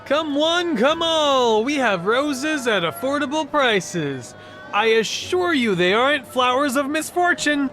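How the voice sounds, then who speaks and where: Guy doing keen market flower seller, super high ringing voice, drawn out calls, game character. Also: animated, slow pace, sharp tone, full character voice. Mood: eager hawking. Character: eager fantasy market flower seller calling out wares. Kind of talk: scripted game character acting.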